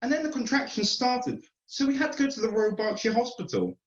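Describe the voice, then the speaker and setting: A man's voice: very high voice, lively intonation, a little fast, voice pushed up. A man voicing a doting, matter-of-fact English mother with a cooing, singsong exaggeration in a comic story. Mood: cooing, chatty. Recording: roomy, slightly muffled online call.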